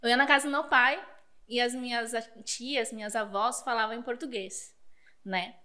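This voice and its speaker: High-voiced woman telling a story. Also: fairly even intonation, normal speed, sharp tone. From Paraguay border region. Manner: storytelling, laughs often. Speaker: guest. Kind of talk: casual podcast chat.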